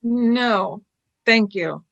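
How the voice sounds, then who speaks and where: A woman's voice: a little high, drawn-out words, creaky, dull audio, full character voice. A woman playing an anxious young companion in an amateur radio play, worried and pleading.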